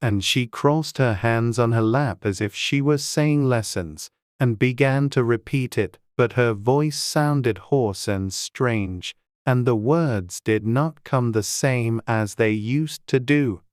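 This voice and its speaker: A woman narrating a storybook as an audiobook, with a measured storytelling delivery. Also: very deep voice, darkish tone, no room sound, studio quality.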